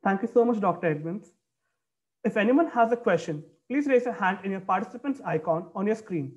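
A man's voice: high voice, expressive, brisk, muffled recording. Young guy moderating a debate over a video call, formal, reads it all off a script. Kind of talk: scripted announcements. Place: indoor room, video call.

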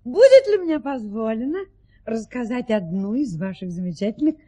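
A gracious duchess in a radio play, with a dark, husky voice. She speaks in a refined, deferential way with lively courtly intonation. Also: a little high, moderate pace. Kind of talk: theatrical radio play acting.

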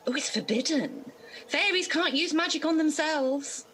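A woman's voice: high-pitched, lively intonation, unhurried, a bit bright, a little hiss or hum. A woman playing a fussy, complaining, bossy fairy in a radio pantomime.

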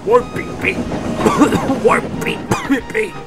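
A man's voice: medium pitch, sing-song, normal speed, over music. A man voicing a plucky, proud, determined steam engine in a children's story, with an eager, emphatic delivery. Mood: straining, determined.

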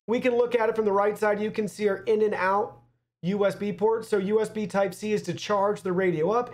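A young man presenting a product review in an upbeat, presenterly way in a small studio. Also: high-pitched. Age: young adult. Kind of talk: scripted product review to camera.

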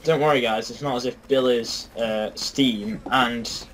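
A man's voice: medium pitch, fairly even intonation, measured pace, fairly bright tone, a little hiss or hum, poor audio. A young man bantering casually during gameplay, joking and teasing as co-commentator. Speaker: gaming co-commentator. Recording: busy clamour behind the voice.

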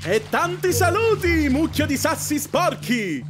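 A man voicing a big, cocky, boastful demigod in an animated film dub, with swaggering, theatrical delivery and quick exasperation. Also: extremely high pitch, full character voice. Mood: smug, gleeful. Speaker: fan dubber. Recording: music behind.

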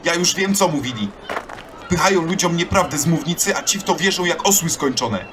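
A man's voice: a little high, lively intonation, fairly quick, a bit bright, slight background noise. An actor playing a bitter, argumentative young townsman in an audio drama. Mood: indignant. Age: young adult.

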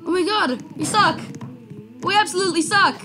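Young female gamer, high and lively voice, chatty and excitable, game music clearly underneath. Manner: excitable, fast chatter with many "like" and "dude". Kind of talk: casual gameplay chat.

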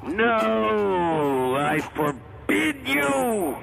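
An actor voicing a wrathful, godlike supercomputer in a radio drama, pompous and menacing, drawing out its commands. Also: male voice, very animated, very slow, gravelly, loud music behind the voice, heavily acted, rough recording. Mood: imperious rage. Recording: heavy ambient rumbling noise.

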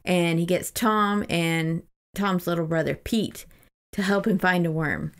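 A woman's voice: on the low side, fairly even intonation, a little slow, clean tone. A woman co-hosting a podcast, casually recapping a book in an informal, amused way. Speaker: podcast co-host.

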